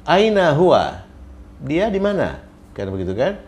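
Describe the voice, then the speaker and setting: A man's voice: on the high side, huge pitch swings, unhurried, a little mellow. A man teaching an Arabic grammar lesson, patiently repeating each example and its translation.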